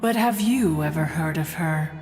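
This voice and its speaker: A woman's voice: low-pitched, moderate pace, a little mellow, close to an everyday voice. An actress voicing a proud, haughty female crane spirit and inventor in a video game, talking in a stately, pompous way. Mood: reminiscing. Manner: pompous, stately, refers to herself as one. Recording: long reverb with game music behind.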